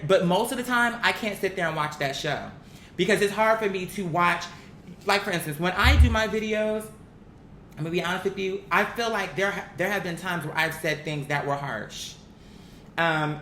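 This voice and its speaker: Bright, blunt vlogger in an echoey room. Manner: blunt, slangy, emphatic. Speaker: vlogger giving opinions on celebrity gossip. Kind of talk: casual opinionated commentary. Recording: roomy echo, faint rumbling background noise.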